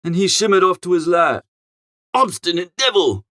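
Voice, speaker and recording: A man reading a storybook in character as a chatty, flustered young gentleman, with a posh, exclamatory, grumbling manner. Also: sits high, big pitch swings, normal speed, no echo, clean studio sound. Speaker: audiobook narrator. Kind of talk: animated first-person storybook narration in character.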